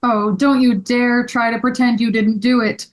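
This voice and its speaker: Woman reading male store owner, earnest and plainspoken, fairly high voice, table-read drama. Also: level tone, normal speed, close to an everyday voice. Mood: accusing.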